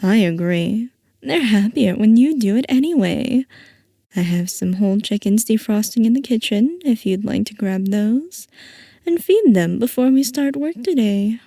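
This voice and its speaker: Slow, mellow woman acting as a scheming scientist boss. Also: a little high, expressive, dry recording, studio quality. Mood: reassuring, fond. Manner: soft, coaxing, affectionate villainy. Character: playful scheming female scientist boss, possessive, doting on her assistant. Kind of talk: ASMR roleplay acting.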